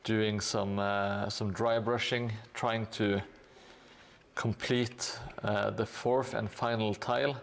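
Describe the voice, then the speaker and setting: A man chatting on a live stream as he paints, unhurried and easygoing. His voice has a gravelly fry, and he pauses often with little fillers and restarts. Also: fairly low pitch. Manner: relaxed, hesitant with frequent fillers, thanks viewers. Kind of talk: casual live-stream chat while painting.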